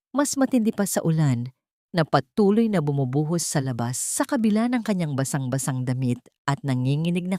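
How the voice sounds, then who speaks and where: A woman's voice: fairly low pitch, dark tone, dry recording, clean studio sound. A woman with a Filipino accent reading a scripted dramatic audio story as its narrator.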